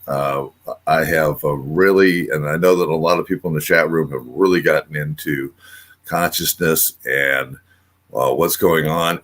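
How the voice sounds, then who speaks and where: A man's voice: low-pitched, a bit bright. An older man hosting a casual radio show, rambling and full of filler words and restarts. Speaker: host.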